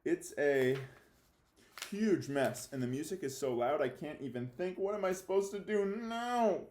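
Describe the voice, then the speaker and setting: A man's voice: a little high, animated, measured pace, a little mellow, reverberant, voice pushed up. A man reading a children's novel aloud as an anxious, defiant young boy left home alone, confessional and emphatic. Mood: startled, panicked. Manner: confessional first-person storytelling with emphatic, childlike phrasing.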